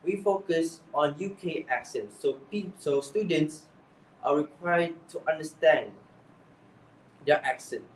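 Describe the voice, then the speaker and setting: A man's voice: a little high, a little slow, a little hiss or hum. A young man with a Malaysian accent giving exam tips, earnest and often restarting his phrases. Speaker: English speaking coach. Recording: echoey room, voice from far off.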